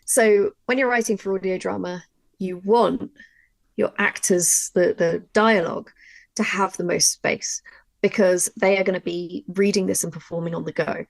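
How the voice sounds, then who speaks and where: A woman's voice: lowish voice, steady tone, normal speed, vocal fry, dry recording, rough recording. A woman co-presenting a workshop, explaining things in a casual, chatty way and thinking aloud with asides. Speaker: workshop co-presenter and audio drama writer.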